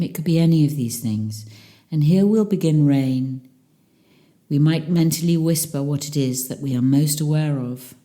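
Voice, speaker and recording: Very low, slow woman guiding meditation. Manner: calm, soothing, with long pauses. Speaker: meditation teacher. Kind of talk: guided meditation.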